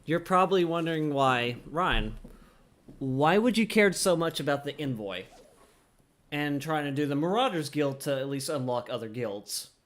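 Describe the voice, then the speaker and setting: A man's voice: on the high side, lively intonation, moderate pace, fairly bright tone. A man giving casual gameplay commentary, explaining things informally and thinking aloud as he plays. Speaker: gamer, streamer explaining gameplay.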